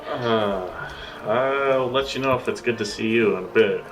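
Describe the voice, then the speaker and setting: A man's voice: mid-range voice, measured pace, rough recording. A man playing in a tabletop roleplaying show, improvising casual, teasing banter with music underneath.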